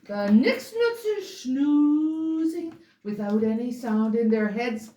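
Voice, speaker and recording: A woman reads a rhyming holiday story aloud in a playful, theatrical, drawn-out way. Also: high voice, sing-song, warm tone. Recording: roomy, distant mic, faint noise.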